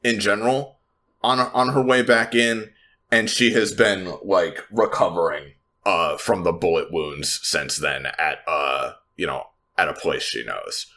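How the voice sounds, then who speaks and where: A man playing a tabletop game, narrating his character's actions in a hesitant, measured, improvised way. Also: low voice, fairly even intonation, bright, forceful tone. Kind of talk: improvised third-person roleplay recap.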